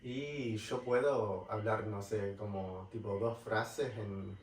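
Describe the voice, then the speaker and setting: Slow-talking man with an Argentine accent. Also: fairly low pitch, fairly even intonation, slight background noise. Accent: Argentine Spanish. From California. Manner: halting, searching for words. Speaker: travel vlogger. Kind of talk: casual talk to camera. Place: rental apartment. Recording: roomy, distant mic, faint ambience.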